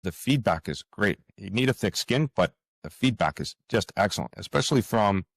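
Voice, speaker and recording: A man's voice: fairly low pitch, expressive, normal speed, gravelly, no echo, studio quality. A man speaking reflectively as an interview guest, earnest and hesitant, often repeating words and phrases. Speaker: author, podcast guest.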